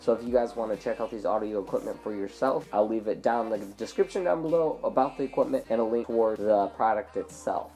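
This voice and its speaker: A man's voice: medium pitch, dark tone. Sick man losing his voice. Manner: rambling, self-correcting. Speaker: product reviewer. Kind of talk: casual unboxing review. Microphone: clip-on lavalier into phone.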